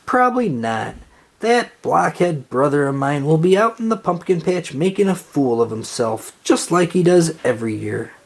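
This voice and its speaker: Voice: medium pitch, sing-song, moderate pace, gravelly, a little mellow. A storybook reader playing a bossy, scornful young girl, an exasperated big sister.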